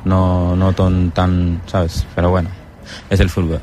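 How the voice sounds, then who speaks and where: A man's voice: deep voice, monotone, slow pace, dark tone, a little hiss or hum. A young man from Senegal, a footballer in a casual interview, speaking hesitantly and searching for words. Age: young adult. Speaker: footballer guest.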